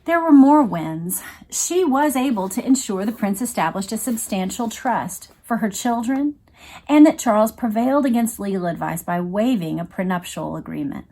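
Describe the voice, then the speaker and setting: A woman's voice: medium pitch, expressive, normal speed, warm tone, poor audio. A woman hosting a read-along, reading aloud and commenting in a conversational, emphatic way. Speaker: host of a read-along video series.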